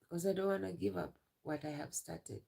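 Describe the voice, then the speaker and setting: A young woman on a live stream, tearful and emotional, talking in halting phrases and repeating herself. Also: fairly low pitch, fairly even intonation, vocal fry, mellow, husky. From Uganda. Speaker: livestreamer.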